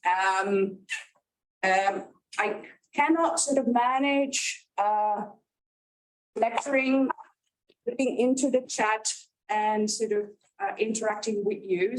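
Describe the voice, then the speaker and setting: Dutch woman, middle-aged, guest lecturer; measured, halting delivery with frequent fillers. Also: medium pitch, low-quality recording. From Netherlands. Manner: unhurried with many ums and uhs. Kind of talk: university lecture.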